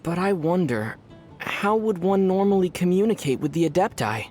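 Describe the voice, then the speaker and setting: Calm, measured young traveler hero. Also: male voice, sits high, soft background music. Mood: thoughtful. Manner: measured, storytelling, wry. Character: calm, thoughtful young male traveler and adventurer. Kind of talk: video game voice acting.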